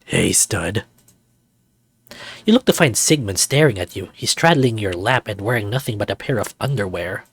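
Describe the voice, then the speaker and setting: A man's voice: lowish voice, animated, a little fast, hardly any pauses, husky, no room sound. A man reading a visual novel aloud as channel host, with coy, hesitant commentary. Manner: giggly, coy, hesitant asides.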